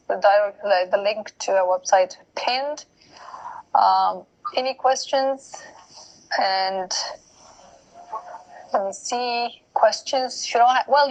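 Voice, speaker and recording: A woman's voice: on the high side, poor audio. A woman hosting a live online interview, chatty and laughing easily as she repeats directions to viewers. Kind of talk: casual live-stream hosting. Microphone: online live video call.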